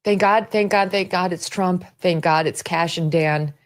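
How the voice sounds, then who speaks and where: Low-voiced, fervent woman talk show host. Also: a little slow. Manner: fervent, emphatic. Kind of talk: political talk show commentary.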